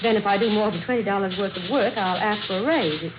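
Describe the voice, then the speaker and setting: A woman's voice: medium pitch, fast, dull audio, lightly acted. An actress playing a polite, earnest young woman applying for an office job, in a 1940s radio drama style. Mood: polite, composed. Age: young adult. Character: polite, earnest 22-year-old female office job applicant. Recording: thin, noisy old radio recording.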